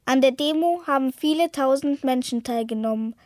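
A woman's voice: high-pitched, moderate pace, a little mellow, no echo, studio quality, natural voice. A woman reading an earnest, anxious protester's testimony in a radio play, telling it simply. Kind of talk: scripted radio-play monologue, read testimony.

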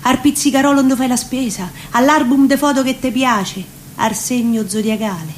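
A woman with a Roman accent reciting a theatrical spoken-word monologue, deliberately, phrase by phrase. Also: fairly high pitch. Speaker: reciting performer. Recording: faint ambient background noise.

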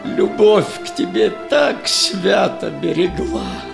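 Audio drama casting: proud old merchant father, gravelly, slightly high voice, remorseful, theatrical verse delivery. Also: expressive, over music, full character voice. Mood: anguished, lamenting. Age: older. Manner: slow, theatrical, lamenting rhymed delivery.

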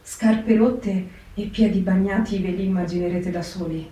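A woman's voice: mid-range voice, steady tone, normal speed, warm tone, close to an everyday voice. An actress playing a reflective woman writing letters from a wartime camp, in a dramatic literary reading. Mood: grave, wry. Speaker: stage actress. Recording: very echoey, distant, music behind. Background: guitar music.

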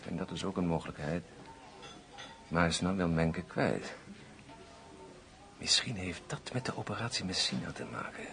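Zeer lage, krakende, intrigerende officier van justitie. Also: male voice, deep voice, fairly bright tone, heavily acted. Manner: formal, insinuating. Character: scheming, vindictive male public prosecutor, coldly formal. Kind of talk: radio drama acting.

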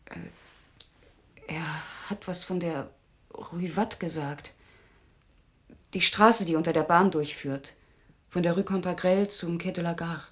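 Low-voiced, grave young woman. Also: slow pace, long pauses. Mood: curious. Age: young adult. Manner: terse, restrained. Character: grave, proud young Romani woman, loyal and vengeful. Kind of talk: German radio crime drama acting.

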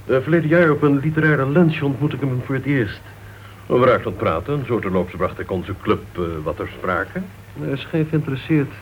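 An actor playing a chatty, genial club member in a Dutch radio play. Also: male voice, mid-range voice, a little fast, a little hiss or hum, close to an everyday voice. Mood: matter-of-fact. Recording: dull old radio recording.

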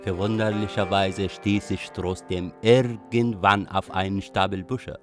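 A young Iraqi refugee recalling his life for a radio drama. The voice is deep, delivering literary first-person narration with music underneath. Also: steady tone, a little slow. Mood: reflective.

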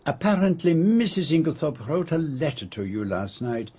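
An actor playing a courteous, shrewd detective with a French accent in a radio drama, formal and measured as he probes and gently teases. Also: fairly high pitch, big pitch swings, breathy edge. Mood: courteous, inquiring. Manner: formal, polite, measured, probing. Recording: dull, lo-fi radio drama recording.

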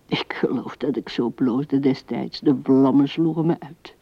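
A woman's voice: extremely low pitch, dry recording, full character voice. Een vrouw speelt een oude weduwe die mijmerend en haperend tegen haar overleden man praat in een hoorspel. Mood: fond reminiscing. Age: older. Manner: musing, halting, with breathy asides. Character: old widow musing tenderly to her dead husband. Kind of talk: radio drama monologue. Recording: dull, band-limited 1970s radio recording.

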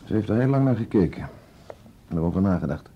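An actor with a Netherlands Dutch accent playing a stubborn, firm and curt police commissioner in a naturalistic radio play. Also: male voice, low voice, expressive, fast talker, vocal fry, husky, exaggerated voice. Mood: matter-of-fact. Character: stubborn, authoritative male police commissioner, short-tempered. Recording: muffled radio drama recording.

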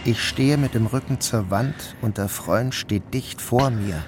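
A man giving a measured, subdued first-person narration of a novel. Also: medium pitch, over music.

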